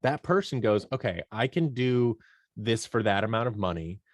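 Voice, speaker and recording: A man's voice: lowish voice, moderate pace, no echo, studio quality. A man speaking conversationally as an interview guest, explaining things by acting out imagined bits of dialogue. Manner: animated storytelling, acts out imagined dialogue. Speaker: guest, creative company owner and director. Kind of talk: conversational podcast interview answers.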